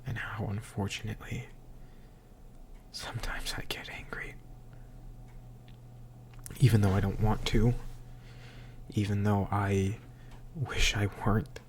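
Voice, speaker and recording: A slightly tipsy man recording a confessional audio diary, speaking in a halting, hushed, introspective way that often trails into a whisper. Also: fairly low pitch, flat delivery. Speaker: audio diarist.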